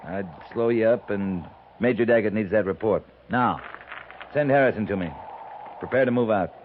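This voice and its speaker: A man's voice: lowish voice, moderate pace, close to an everyday voice. Man playing a clipped cavalry captain, tinny old radio. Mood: stern. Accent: American. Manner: clipped, authoritative, dry understatement. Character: stoic, commanding wounded cavalry captain. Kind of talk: 1950s radio western acting. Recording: muffled narrow-band old radio recording, hissy.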